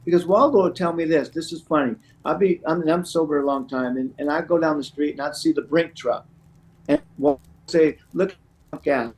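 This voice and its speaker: Man, middle-aged, higher-than-average voice, rambling conversational delivery, recovery counselor as guest. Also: a little fast, darkish tone, low-quality recording. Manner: rambling, repeats "you know". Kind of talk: conversational interview, sharing personal anecdotes.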